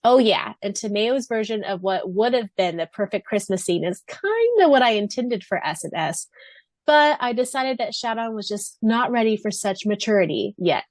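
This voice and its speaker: A woman's voice: mid-range voice, animated, normal speed, no echo. A woman co-hosting a fan podcast, chatting casually and reading aloud. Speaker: podcast co-host.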